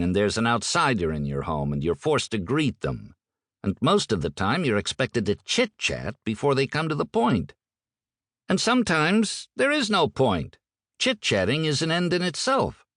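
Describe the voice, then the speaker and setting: A man's voice: fairly low pitch, dry recording, studio quality. A man reading a first-person audiobook in a wry, conversational manner. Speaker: audiobook narrator.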